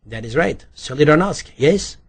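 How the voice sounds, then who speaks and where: A man's voice: fairly high pitch, animated, moderate pace, breathy edge, pitched above the performer's own voice. A man voicing a slippery, talkative immigrant bookie with a light Polish accent, evasive and chatty, in an audiobook. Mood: proud, chatty. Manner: evasive, chatty, questioning tags.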